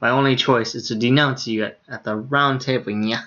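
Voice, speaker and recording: A male streamer with a medium-pitched voice and slightly dull audio. He reads game dialogue aloud informally and drops amused asides between lines. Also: fairly even intonation, a little fast, pushed and bright.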